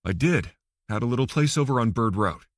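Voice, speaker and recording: A man's voice: mid-range voice, lively intonation, brisk, no room sound, studio quality, lightly acted. A man voicing a wry, plain-spoken male ex-lawyer in an audiobook, blunt and direct.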